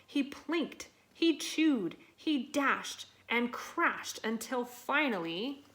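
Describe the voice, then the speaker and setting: A woman reading a children's picture book aloud in an expressive, dramatic and deliberate way. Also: on the high side. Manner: dramatic, deliberate delivery with acted sound words. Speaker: storybook reader.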